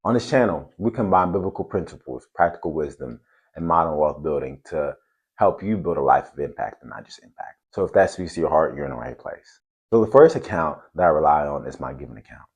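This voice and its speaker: A man from Cameroon hosting a faith and finance channel, giving an earnest, steady talk to camera. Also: a bass voice, brisk, slightly rough.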